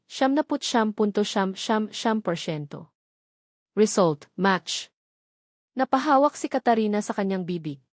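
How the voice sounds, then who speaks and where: Steady woman narrating a drama story. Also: mid-range voice, dry recording, clean studio sound.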